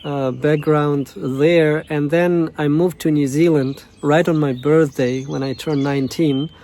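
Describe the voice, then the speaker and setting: Soft-voiced man with a tongue. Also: a little high, steady tone, slight background noise. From Russia, Novosibirsk. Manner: halting, many fillers and restarts. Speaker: coach. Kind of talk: casual self-introduction monologue.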